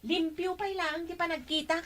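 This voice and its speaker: An actress plays a young woman with a very high voice. She is indignant and quick to scold, delivering her lines in a huffy radio drama manner. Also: steady tone, a bit bright, dry recording. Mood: angry.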